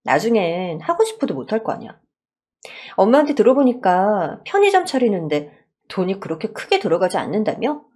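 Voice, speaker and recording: A supportive young wife in a radio story, as a woman narrator plays her. She speaks gently and encouragingly, with a slightly husky, expressive voice. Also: medium pitch, brisk.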